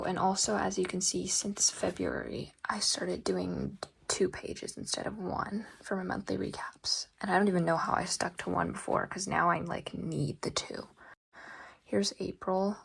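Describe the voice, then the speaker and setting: A young woman flips through her journal, speaking softly, often close to a whisper, with lots of ums. Her voice is strongly gravelly and breathy, raspy for the day. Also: mid-range voice. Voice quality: gravelly, husky. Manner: soft, often half-whispered, rambling with ums. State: raspy voice today. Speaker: journal vlogger. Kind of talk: casual journal flip-through.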